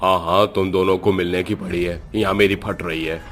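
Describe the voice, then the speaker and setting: A man's voice: low voice, steady tone, normal speed, fairly bright tone. A man voicing a frightened, reluctant young camper in a horror story, complaining in slang. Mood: scared, annoyed.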